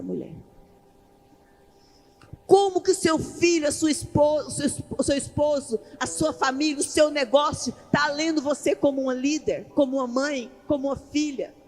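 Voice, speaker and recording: Very high-pitched Brazilian woman preaching in a hall. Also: moderate pace, darkish tone. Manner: emphatic, with rhetorical questions and repetition; colloquial, ungrammatical everyday speech. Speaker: pastor preaching at a women's church conference. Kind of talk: impassioned sermon. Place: large indoor church event hall. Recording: echoey large hall PA, faint music.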